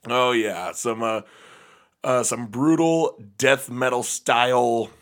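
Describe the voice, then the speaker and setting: A young man with a slightly raspy voice chatting casually as a podcast host, laughing often and rambling through fillers. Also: mid-range voice, slow pace, fairly bright tone. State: slightly raspy voice after a gig. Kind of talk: casual chat.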